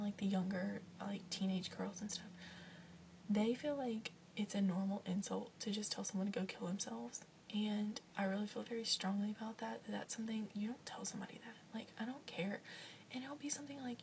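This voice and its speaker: A young woman whispering softly as she chats through a list of questions, with frequent ums and likes. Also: medium pitch, gravelly, recorded from a distance, noisy background. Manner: soft whispering, chatty, many ums and likes. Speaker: vlogger answering questions. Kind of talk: whispered ASMR Q&A.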